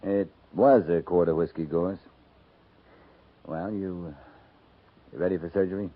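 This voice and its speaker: Frontier army captain: lowish voice, terse, dry, steady command, old radio drama with tinny, noisy sound. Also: male voice, a little slow. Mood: dry, resolved. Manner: terse, dry, understated authority. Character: steady, dry-witted middle-aged cavalry captain on the frontier. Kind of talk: 1950s radio drama acting.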